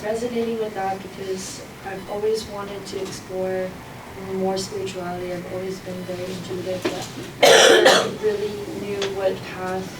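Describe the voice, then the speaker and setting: A young woman from New York stands in the audience to ask a question. She hesitates and searches for her words. Her voice is far from the mic, echoing in a room buried in loud noise. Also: mid-range voice, flat delivery, moderate pace. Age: young adult. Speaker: student audience member asking question.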